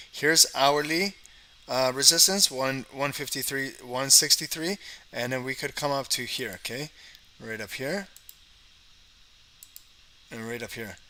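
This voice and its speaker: Bright-voiced man hosting a live trading stream. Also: mid-range voice. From Canada. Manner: chatty, repeats "okay" and "guys", talks to live chat. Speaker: trading livestream host. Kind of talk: casual live trading commentary.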